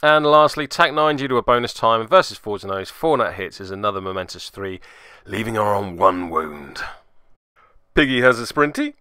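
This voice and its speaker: A man's voice: lowish voice, expressive, normal speed, bright, forceful tone, clean tone, no room sound, studio quality. A man hosting and narrating a tabletop game move by move, with theatrical flourishes and wry asides. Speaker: podcast host and player.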